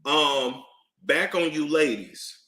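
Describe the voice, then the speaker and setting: A man giving casual live-stream commentary, emphatic and animated, repeating phrases for effect. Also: fairly high pitch, takes it slowly. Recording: roomy echo.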